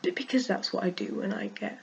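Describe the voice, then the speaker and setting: A woman with a cold and a croaky voice, frankly venting in a casual vlog and laughing often. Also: medium pitch, fairly quick, a little hiss or hum. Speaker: vlogger.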